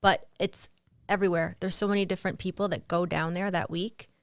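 Guest: woman, relaxed and chatty, heavy filler use, dry studio sound. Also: lowish voice, a little fast, no echo. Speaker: guest, model and art dealer. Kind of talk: casual interview chat.

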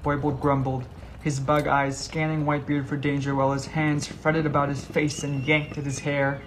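A man's voice: fairly high pitch, little pitch movement, normal speed, a little hiss or hum, poor audio. A man narrating a scripted whimsical story as a reader.

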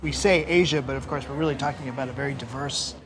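A man's voice: mid-range voice, fast, noisy background. A man interviewing a guest onstage, asking long, winding questions in a conversational way. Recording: crowd murmur in the background.

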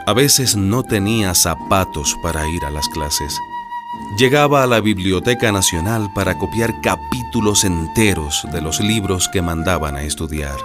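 A man's voice: low voice, huge pitch swings, moderate pace. A man narrating a radio drama as a scripted storyteller. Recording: music bed behind the voice.

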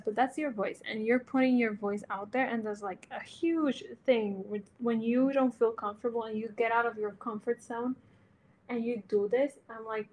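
Woman guest, slightly high pitch, warm and earnest, pauses to find words. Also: slight background noise, low-quality recording. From Latin America. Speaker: podcast guest, interior designer. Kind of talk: casual chat, encouraging advice.

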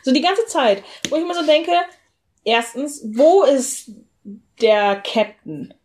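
A woman's voice: high voice, expressive, takes it slowly, a little mellow, slight background noise. A woman speaking colloquially in a casual discussion, giving blunt opinions as a co-host. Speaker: co-host of a discussion about a TV series. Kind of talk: casual chat, critical series discussion.

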